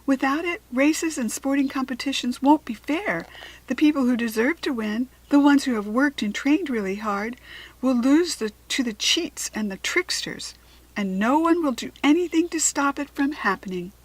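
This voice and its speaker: A woman playing a high-voiced anxious little fairy. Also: animated, moderate pace, rough recording. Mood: worried, indignant. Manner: urgent, high-pitched pleading. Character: small anxious female sports fairy, urgent and indignant. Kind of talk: children's storybook character reading.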